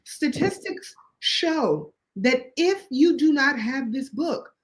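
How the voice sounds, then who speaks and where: A woman with a high-pitched voice, joining a friend as a guest on the show. She banters in a playful, teasing way with plenty of sass. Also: lively intonation, takes it slowly, low-quality recording. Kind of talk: casual joking chat.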